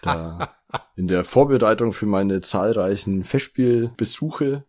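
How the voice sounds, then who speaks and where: A man's voice: on the low side, takes it slowly, a little mellow. A man co-hosting a podcast in casual, teasing conversation, often chuckling. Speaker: podcast co-host.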